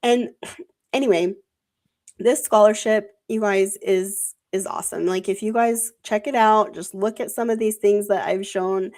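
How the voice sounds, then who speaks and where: A woman's voice: mid-range voice, fairly even intonation, a little slow, darkish tone. A woman who is sick and losing her voice talks in a casual, rambling way about religious ideas on a livestream, with many fillers. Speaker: co-host.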